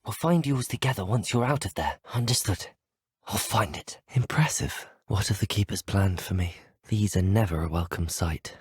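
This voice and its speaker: A man voicing a polite, earnest British student wizard in a video game, speaking in an articulate, measured way. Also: on the low side, darkish tone, slightly rough, dry recording, clean studio sound. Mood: quietly observant. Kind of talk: video game character dialogue.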